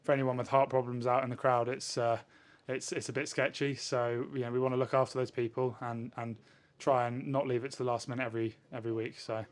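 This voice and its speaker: A man, a rugby player answering questions in a post-match interview in a measured way. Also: mid-range voice, little pitch movement. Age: 20s. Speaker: professional rugby player, winger. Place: indoors in front of a sponsor backdrop. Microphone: handheld interview microphone held at chest height.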